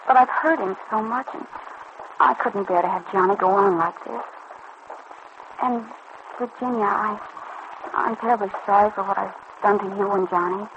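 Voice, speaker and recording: A woman's voice: mid-range voice, moderate pace, pauses often, lightly acted. An actress playing a troubled, lovesick young girl in a vintage radio serial, speaking in an earnest, hesitant, pleading way. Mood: remorseful, downcast. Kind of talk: 1940s-50s radio serial acting. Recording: dull, noisy old radio recording.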